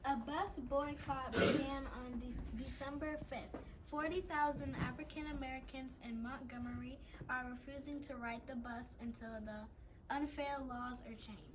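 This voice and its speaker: A woman's voice: sits high, steady tone, moderate pace. A woman reading a play script aloud in an amateur way, now and then stumbling over words. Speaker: readers theater reader. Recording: distant mic with faint room noise.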